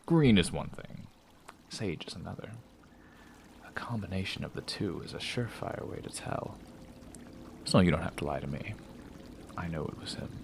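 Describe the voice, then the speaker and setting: An actor playing a secretive young man who claims to be the hero, speaking softly and insinuatingly in an audio drama. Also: deep voice, animated, moderate pace, gravelly, pauses often, a little hiss or hum. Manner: soft, insinuating, confiding.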